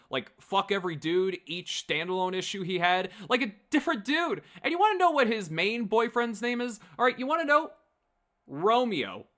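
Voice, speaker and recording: Animated, bright-voiced man rambling casually. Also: sits high. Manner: rambling, blunt, swearing. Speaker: livestream host. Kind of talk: casual opinionated fan commentary to a live chat.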